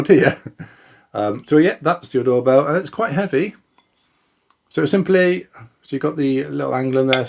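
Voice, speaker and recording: Guy doing a casual unboxing, average pitch, chatty, kinda hesitant, thinking out loud. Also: slight background noise. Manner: informal, chatty, thinking aloud with hesitant self-corrections. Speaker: product reviewer and video host. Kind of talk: casual unboxing and demonstration talk to camera.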